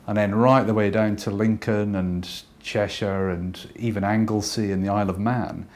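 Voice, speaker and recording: A man from Scotland who is a painter, talking thoughtfully and unhurriedly about his work, with pauses and fillers. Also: fairly low pitch, fairly even intonation, a little mellow.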